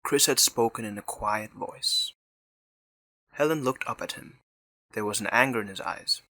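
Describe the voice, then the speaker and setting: A man's voice: lowish voice, moderate pace, sharp tone, no room sound, rough recording. A man narrating a scripted audio drama in the third person.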